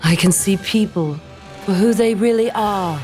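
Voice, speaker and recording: Woman as a slow, serene mystic shepherdess. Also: mid-range voice, a little mellow, faint music behind, natural voice. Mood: solemn, commanding. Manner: measured, solemn, cryptic. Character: serene mystical blind shepherdess, wise and assured. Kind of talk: fantasy table read acting.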